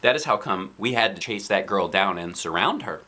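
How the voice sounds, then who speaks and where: Animated man reading a storybook, bright voice. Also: low-pitched, normal speed. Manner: playful, expressive, theatrical. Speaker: dad reading a children's book aloud. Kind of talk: animated children's storybook narration.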